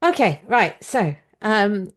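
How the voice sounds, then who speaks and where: A woman's voice: mid-range voice, sing-song, slow pace. A woman with a British accent hosting a conversational interview podcast, frank about her opinions.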